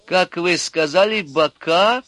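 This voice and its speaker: A man's voice: high voice, slow, a bit bright, dry recording, pitched above the performer's own voice. A man reading aloud, voicing a bespectacled man who asks precise, polite questions and then barks orders.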